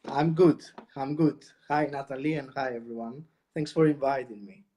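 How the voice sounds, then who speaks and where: A man from Greece, a guest co-host describing his podcast in a relaxed live-stream chat, pausing often with "uh". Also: mid-range voice, a little hiss or hum. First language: Greek. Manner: measured, many "uh" pauses. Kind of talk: casual interview chat.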